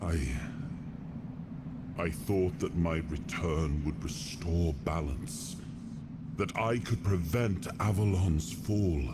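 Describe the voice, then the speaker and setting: A very deep, solemn weary king. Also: very noisy. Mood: regretful, solemn. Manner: measured, solemn, deliberate. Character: weary, regretful, stern old human king, imperious. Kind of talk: dramatic video game cutscene acting. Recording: loud music behind the voice.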